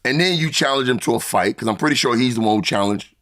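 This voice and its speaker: A man's voice: medium pitch, lively intonation, fast talker, a bit bright. A man in his forties hosting a casual interview, asking blunt, teasing questions and swearing easily. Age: 40s. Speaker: interview host.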